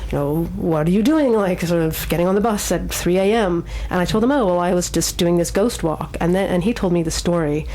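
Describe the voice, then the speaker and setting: A female radio host with a slightly low voice talks casually. She rambles through her storytelling, often doubling back and repeating phrases. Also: brisk, few pauses, darkish tone, poor audio. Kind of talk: casual spoken anecdote on community radio.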